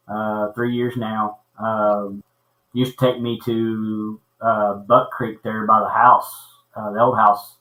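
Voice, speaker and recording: Man in his thirties, bright voice, stop-start delivery with lots of uh, roomy echo. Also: fairly low pitch. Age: 30s. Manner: halting, repeats words and fills with uh. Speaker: podcast host. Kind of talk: casual livestream chat. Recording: echoey room.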